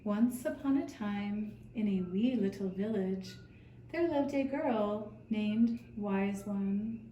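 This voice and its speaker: A woman storyteller narrating a children's fairy tale in a simple, measured manner. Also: mid-range voice, dark tone, lo-fi sound. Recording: roomy, distant mic, faint noise.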